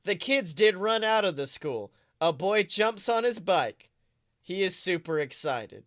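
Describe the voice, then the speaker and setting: A podcast co-host with a bright, forceful voice at a middling pitch. The talk is casual and jokey, with easy laughter, recorded dry and close. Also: expressive, measured pace, dry recording. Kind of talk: casual comedic chat.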